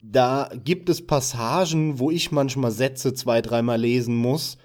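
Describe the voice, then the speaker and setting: A German-speaking man co-hosting a podcast, chatting about gaming websites in a relaxed, casual way with a few filler sounds. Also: medium pitch, a little slow. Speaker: podcast co-host.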